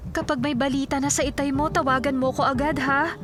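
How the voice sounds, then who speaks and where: A woman playing a concerned, sympathetic young daughter in a Filipino radio drama, speaking gently. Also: high-pitched, fairly even intonation, fairly quick, over music, lightly acted. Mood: concerned, caring.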